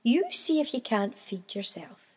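A woman with a Scottish accent voicing a patient but weary mother seagull who speaks gently and firmly. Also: fairly high pitch, sing-song, measured pace, husky, in a large hall, exaggerated voice.

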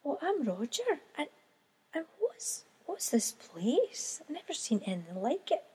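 A woman's voice: huge pitch swings, unhurried, mellow, heavily acted. Woman doing a whiny little Scottish gull chick. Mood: bewildered. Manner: pleading, high and wailing. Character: young male seagull chick, whiny and hungry.

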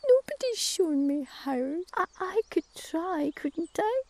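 A woman's voice: extremely high pitch, very animated, slow pace, darkish tone, no room sound, pitched above the performer's own voice, heavily acted. A woman voicing a tearful young female cuckoo.

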